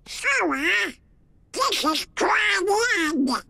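A man's voice: very high-pitched, very animated, very slow, gravelly, background noise, heavily acted. A man voicing a small, cranky cartoon duck sailor in a game, with a garbled, quacky squawk. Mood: weary, astonished.

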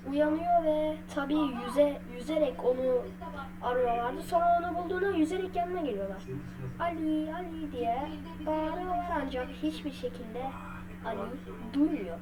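A woman telling a story to viewers as unscripted commentary, in halting, broken phrases. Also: high-pitched, noisy background. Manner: halting, broken phrases with repeated filler words. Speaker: video creator narrating a horror theory. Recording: loud music under the voice. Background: loud music.

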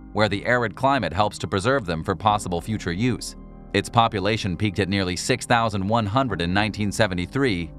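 A man with a fairly low voice narrates a documentary script at an even, measured pace. Faint music plays under the voice-over.

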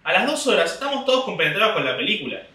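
A man's voice: sits high, sing-song, rapid-fire, sharp tone, roomy sound, distant mic. A young man with an Argentine Spanish accent telling a rambling comic story in a casual monologue. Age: young adult. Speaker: comedian podcast host. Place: small home room indoors. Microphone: desk mic on stand, camera at distance.

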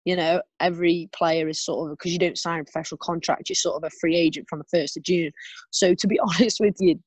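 Woman, 20s, sports interviewee, informal and wandering delivery, dry sound with little room echo. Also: lowish voice. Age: twenties. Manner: rambling, peppered with "you know" and "kind of". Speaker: interviewee, footballer. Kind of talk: casual chat. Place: remote video call.

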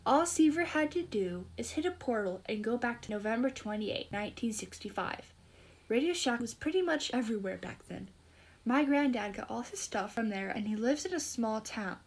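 Clever, bossy young girl, played by a female performer, high voice, confident explainer in an audio drama. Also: expressive, normal speed. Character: eager, bossy, clever young girl recounting her discovery. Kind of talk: scripted storytelling, audio drama.

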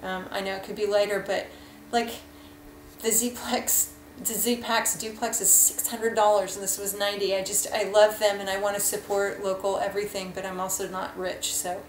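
A woman in her thirties talks through her hiking gear in a frank, rambling way, with plenty of "um" and "like." Her voice stays flat and even in pitch. It is caught by a webcam in a bouncy home kitchen with a faint hum of ambience behind her. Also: moderate pace. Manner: chatty, rambling, frank, many fillers. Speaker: hiking vlogger. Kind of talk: casual gear review. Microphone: webcam at desk. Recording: echoey room, faint ambience.

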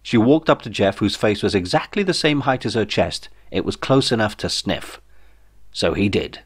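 A man's voice: fairly low pitch, lively intonation, normal speed, slightly rough. A man reading a comic novel as scripted audiobook narration, in a wry storytelling manner. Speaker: author reading own novel.

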